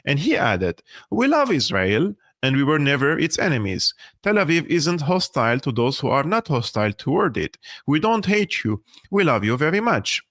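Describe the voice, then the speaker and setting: Guy, live stream host, bright pushy voice, really emphatic. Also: a little high, sing-song, moderate pace, hardly any pauses, no echo, studio quality. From Syria. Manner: emphatic and argumentative, with restarts. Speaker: host of a political analysis channel. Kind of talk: live stream commentary, reading an article aloud.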